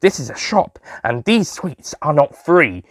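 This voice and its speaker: Man acting an animated, chatty young Time Lord storyteller. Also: fairly high pitch, a little slow, dry recording, clean studio sound. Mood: flustered. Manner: chatty, self-amused asides, giggly. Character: young excitable alien Time Lord, sweet-obsessed shopkeeper, jolly storyteller. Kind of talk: first-person audio story narration in character.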